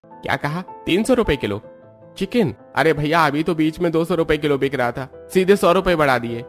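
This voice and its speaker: A man's voice: on the high side, animated, normal speed, soft background music, lightly acted. A man voicing a younger labourer brother who haggles, in a cartoon story. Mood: indignant. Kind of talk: Hindi cartoon story acting.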